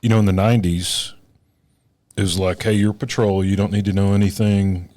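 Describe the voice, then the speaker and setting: A man's voice: on the low side, level tone, normal speed, warm tone, no echo. A man co-hosting a podcast, a police officer speaking in an earnest, emphatic way. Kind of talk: casual podcast conversation.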